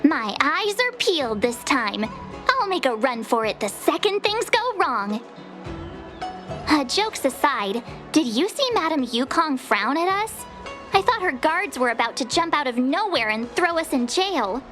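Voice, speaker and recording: A woman playing a perky, jokey, outspoken teenage girl adventurer in a video game, informal and expressive. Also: sits high, measured pace, soft background music, full character voice. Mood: playful. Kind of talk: video game character dialogue.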